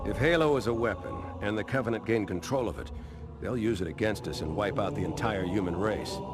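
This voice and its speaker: Man acting a low-voiced, resolute military captain. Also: over loud music, close to an everyday voice. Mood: grave. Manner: commanding, deliberate. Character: gruff, resolute older male military captain. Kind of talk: dramatic sci-fi game acting.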